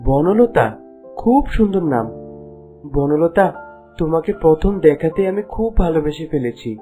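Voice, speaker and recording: Lovestruck young fairy-tale prince, acted by a man, mellow dark tone, courteous, earnest, cartoon, faint music. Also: a little high, lively intonation, normal speed, lightly acted. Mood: smitten. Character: smitten young prince posing as a merchant, courteous.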